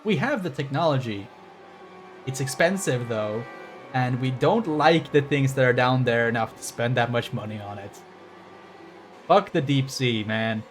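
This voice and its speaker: A man's voice: mid-range voice, expressive, a little slow. Wry male game streamer over background music. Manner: wry, casually profane. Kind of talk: casual rambling playthrough commentary.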